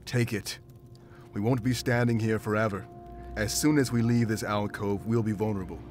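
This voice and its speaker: A man's voice: on the low side, steady tone, normal speed, darkish tone, a little hiss or hum, natural voice. A man voicing a stern, protective wolf-like warrior in a video game, speaking in a firm, commanding way. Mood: stern, insistent.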